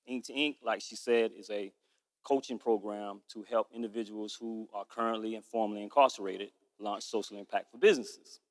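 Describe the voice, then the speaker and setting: A man in his forties, founder of a nonprofit, giving a rehearsed pitch speech in an earnest, storytelling way, slowing down on key points. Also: fairly even intonation. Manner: earnest storytelling with drawn-out emphasis. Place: stage in a large event hall. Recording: echoey hall.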